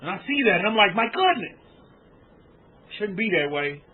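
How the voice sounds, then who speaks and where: Preacher, male, high and husky, repetitive exhorting sermon delivery, tinny phone-line sound. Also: big pitch swings, normal speed. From United States. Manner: exhorting, repetitive, addresses listeners as family.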